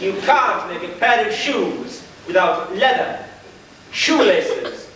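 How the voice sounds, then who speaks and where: A man's voice: high-pitched, big pitch swings, slow pace, a bit bright, exaggerated voice. A man playing a pompous, scheming villain boss in an amateur stage pantomime, with grandiloquent, theatrical delivery. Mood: condescending. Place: church pantomime stage, far camera. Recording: echoey hall from far off, faint noise.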